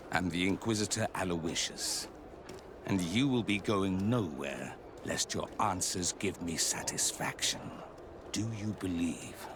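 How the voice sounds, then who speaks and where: A religious zealot of an inquisitor in a fantasy game. His low voice declaims with solemn menace. Clear outdoor noise sits behind him. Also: full character voice. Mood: menacing, demanding.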